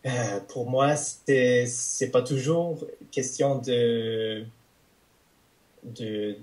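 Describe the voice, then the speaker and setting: A man's voice: medium pitch, steady tone, extremely slow, darkish tone. A man with a Canadian French accent, a polyglot guest on a podcast, talking hesitantly with many restarts and fillers. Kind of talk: casual conversation.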